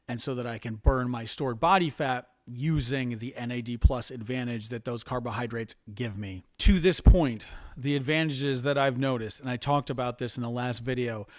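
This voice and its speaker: An American man with a mid-range voice presents health information informally. He explains methodically and in a measured way, and the sound is dry with very little room to it. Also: mid-range voice, no room sound. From United States.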